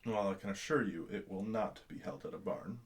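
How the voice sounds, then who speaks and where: A man playing a werewolf prince who gently teases and reassures his future wife in an intimate ASMR roleplay. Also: fairly low pitch, fairly quick, natural voice. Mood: amused.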